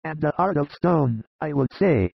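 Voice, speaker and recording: Man acting bluff kind-hearted working man, heavily gravelled voice, blunt, audiobook drama, slightly dull recording. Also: on the high side, moderate pace, dry recording. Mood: indignant.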